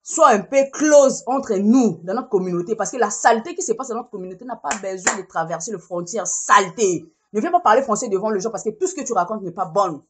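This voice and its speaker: A woman's voice: medium pitch, lively intonation, fairly quick, few pauses, a little hiss or hum. A woman, delivering a heated, scolding social media rant and repeating herself to drive points home. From Congo.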